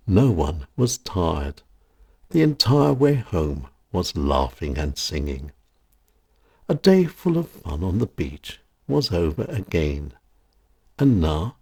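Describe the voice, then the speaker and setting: A man reads a nostalgic scripted story in a measured, deliberate way with dramatic pauses. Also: low voice, darkish tone, breathy edge. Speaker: storyteller reading own written piece.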